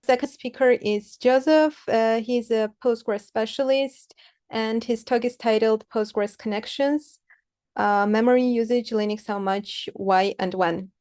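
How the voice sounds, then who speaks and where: Clear, high-voiced woman hosting. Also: a little slow, darkish tone. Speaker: conference host. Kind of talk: introducing a speaker. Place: indoors, online video call.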